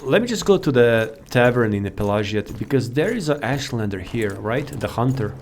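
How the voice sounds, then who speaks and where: Guy chatting thru a video game, kinda rambly thinking out loud, bit of noise on the mic. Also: mid-range voice, animated, moderate pace. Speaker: video host, gamer. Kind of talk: casual gameplay commentary.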